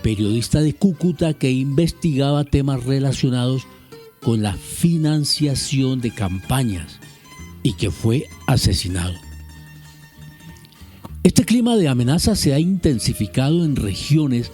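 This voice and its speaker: A man from Colombia, a radio host reading a press editorial on air in a measured, deliberate way. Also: mid-range voice, lively intonation, warm tone, soft background music.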